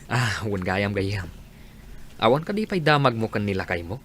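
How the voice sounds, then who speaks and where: A man playing a flattering, teasing friend in an Ilocano radio drama, with a northern Philippine accent. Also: fairly low pitch, normal speed, slight background noise. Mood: flirtatious, curious. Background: faint music.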